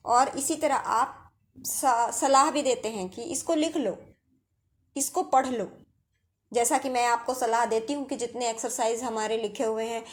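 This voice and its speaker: Female teacher, Indian accent, slightly high voice, very slow delivery, instructional and methodical with examples. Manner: explanatory, step-by-step with examples. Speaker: English grammar teacher. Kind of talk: instructional grammar lesson.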